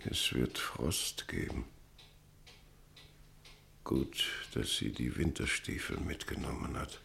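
An actor in a German radio play playing a grave, anguished father who speaks solemnly and haltingly. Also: a bass voice, creaky, a bit bright, a little hiss or hum, heavily acted. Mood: brooding.

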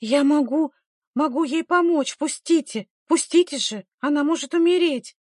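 Woman acting earnest young midwife, raised pitch. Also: animated, normal speed, a little mellow, husky, no echo, clean studio sound. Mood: desperate pleading. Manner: earnest, urgent, pleading. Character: young village midwife, caring, desperate, fiery when wronged.

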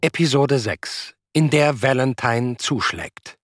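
A man's voice: medium pitch, takes it slowly, soft background music. A man announcing radio drama titles in a scripted style.

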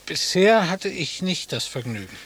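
An actor playing a haughty, unflappable gentleman in a radio play, with a pompous deadpan delivery. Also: high-pitched, measured pace, slightly rough, exaggerated voice. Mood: aloof. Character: haughty, unflappable husband, pompous beach meditator. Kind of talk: German radio play acting. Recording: faint tape hiss.

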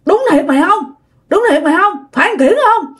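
A woman's voice: extremely high pitch, big pitch swings, fast talker, a bit bright, pitched above the performer's own voice, heavily acted. A woman voicing a terrified uncle who repeats frantic pleas, as part of a spoken ghost story. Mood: frightened, suspicious. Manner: repeats pleas over and over in panic. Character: terrified uncle shaken by a ghost, pleading for rescue.

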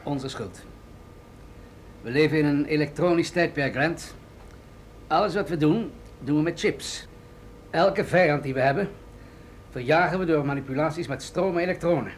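Radio play general, played by a man: slightly high male voice, grave, commanding, explanatory, with rumbling background noise. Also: normal speed, pauses often, natural voice. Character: authoritative male military general briefing an agent, grave and deliberate. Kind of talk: radio drama acting.

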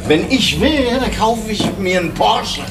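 Drunken loudmouthed village heir, slurring and taunting in a high voice that swings wildly up and down. He sounds echoey and off at a distance, with music behind him. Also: lively intonation, moderate pace, background noise. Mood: boastful. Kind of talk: radio play acting.

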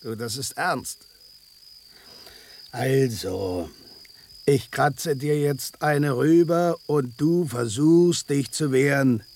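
An actor playing a cocky, territorial tomcat with a sentimental streak, blunt and bossy, in radio drama style. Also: male voice, mid-range voice, slow pace, vocal fry, long pauses, darkish tone. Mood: combative. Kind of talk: German radio drama acting.

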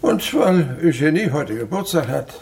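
Stingy old household boss, gravelly and grumbly, voice swings all over, radio play. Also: male voice, on the high side, very animated, moderate pace, darkish tone, slight background noise. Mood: grumbling. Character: gruff, miserly old master of the house. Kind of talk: radio drama acting.

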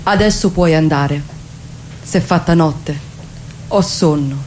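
Radio monologue: actress as a weary, haunted insomniac woman, sombre and mellow, slight background noise. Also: deep voice, takes it slowly. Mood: weary, fearful. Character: weary, sleepless woman haunted by fear and memory. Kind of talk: literary monologue reading for radio.

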